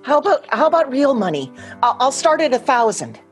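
A woman acting in a radio play as a sentimental grown daughter who clings to keepsakes and pleads haltingly. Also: high-pitched, sing-song. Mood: pleading. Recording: music behind, recorded remotely in one take.

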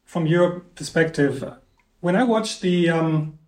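Podcast guy, dutch-german native speaker, higher voice, rambly questions, echoey room. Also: slow, darkish tone. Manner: inquisitive, winding questions with many ums. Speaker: podcast co-host. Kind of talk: casual music discussion.

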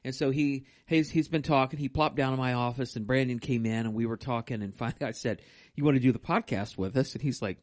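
A man's voice: mid-range voice, quick pace, no echo, studio quality. A man co-hosting a casual podcast, chatty and rambling as he banters with his partner. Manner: chatty, rambling, laughs easily. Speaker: podcast co-host. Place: live stream room.

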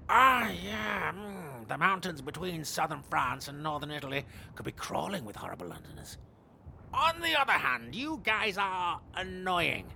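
An actor playing a blunt, reformed evil knight who talks brashly and turns awkward and hesitant. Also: male voice, on the high side, sing-song, normal speed, vocal fry, bright, forceful tone, a little hiss or hum, exaggerated voice. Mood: grudging. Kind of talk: comedy audio drama acting.

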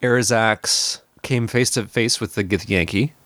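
A man's voice: fairly low pitch, measured pace, a bit bright, no room sound. A man acting as game master on a tabletop game podcast, recapping the last session in an easygoing, rambling way.

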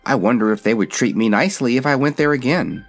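A man's voice: mid-range voice, fast, faint music behind, close to an everyday voice. A man voicing a kindly, thoughtful little wizard in a fairy-tale story. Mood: wistful, curious. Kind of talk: storybook character voice.

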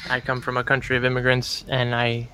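A man's voice: mid-range voice, completely flat delivery, brisk, sharp tone, a little hiss or hum. A man in a casual conversation, making earnest, opinionated points and searching for words as he goes.

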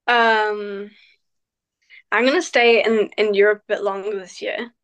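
Hesitant New woman, relaxed. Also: mid-range voice, a little slow. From New Zealand. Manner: hesitant, many fillers, relaxed. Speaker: guest, professional cyclist. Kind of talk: casual interview answers.

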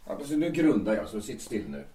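A man acting a quiet, fixated male theatre makeup artist in an audio drama, speaking in a calm, measured and insistent way. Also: fairly low pitch, reverberant, far from the mic.

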